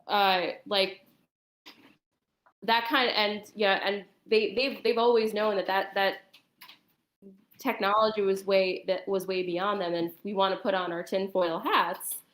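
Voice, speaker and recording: A woman chatting casually and explaining things in a hesitant, halting way, with a roomy echo on the recording. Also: mid-range voice, steady tone, a bit bright. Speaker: guest on a talk stream. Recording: roomy, echoing sound.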